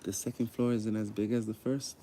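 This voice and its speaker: A man's voice: on the low side, level tone, a little fast, mellow. A man livestreaming casually from a public building, chatting to viewers in blunt, slangy, profane talk. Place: public library building, on the move. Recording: noisy live handheld stream.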